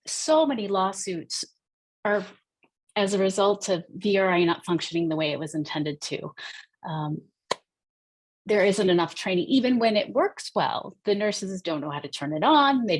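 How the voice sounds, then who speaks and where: A woman speaking as a podcast guest, explaining research findings in a halting, thinking-aloud conversational way. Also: mid-range voice, expressive. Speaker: podcast guest, researcher in deaf healthcare access.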